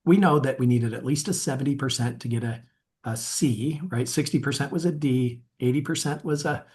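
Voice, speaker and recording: A man's voice: mid-range voice, a little fast, darkish tone. A man coaching in a webinar, upbeat, asking viewers to rate themselves. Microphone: webinar mic.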